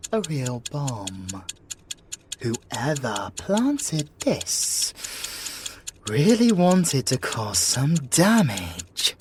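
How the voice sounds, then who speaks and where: A man's voice: mid-range voice, background noise, heavily acted. A British man in an ASMR audio roleplay, playing a sly, teasing, menacing male siren with a theatrical, mock-polite manner. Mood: irritated, menacing.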